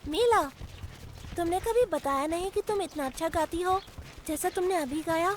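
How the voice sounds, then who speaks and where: A woman voicing a chatty, excitable young animal cub in a children's cartoon, bouncy and childlike. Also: extremely high pitch, moderate pace, slight background noise. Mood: playful, friendly. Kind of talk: children's cartoon dub acting.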